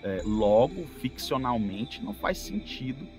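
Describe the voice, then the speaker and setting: A man's voice: mid-range voice, very animated, a little slow. A man with a Brazilian accent explaining examples casually on a podcast, often checking in with tag questions. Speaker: podcast speaker. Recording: prominent music behind the voice. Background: music.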